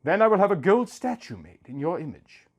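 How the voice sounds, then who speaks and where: A man's voice: sits high, very animated, brisk, pitched above the performer's own voice, exaggerated voice. A man voicing a devoted warrior king, solemn and fervent, in a dramatic reading of an epic. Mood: fervent reassurance.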